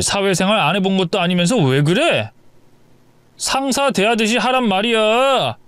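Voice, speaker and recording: A man's voice: high voice, a bit bright, no echo, voice pushed up. A man voicing an impatient young husband who snaps at his wife. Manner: curt, exasperated.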